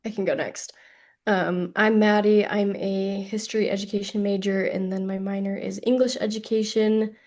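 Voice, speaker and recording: A woman's voice: medium pitch, level tone, normal speed, darkish tone. A woman in her twenties from the Salt Lake Valley, a college student introducing herself on a video call in a talkative, slightly rambling way. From Salt Lake Valley, Utah. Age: 20s.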